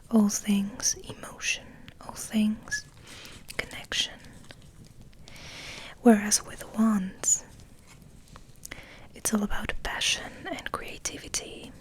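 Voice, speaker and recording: Female ASMR host: breathy whisper, gentle and musing, flat intonation, unhurried pacing. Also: mid-range voice, poor audio. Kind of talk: soft whispered ASMR ramble.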